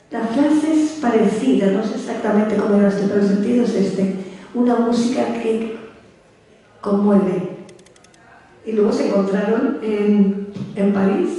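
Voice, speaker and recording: A woman's voice: medium pitch, mellow. A woman from Spain with a Spanish accent, giving an anecdotal talk to a live audience and acting out the dialogue in her stories. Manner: chatty storyteller acting out quoted dialogue. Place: large hall. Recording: echoey hall from far off.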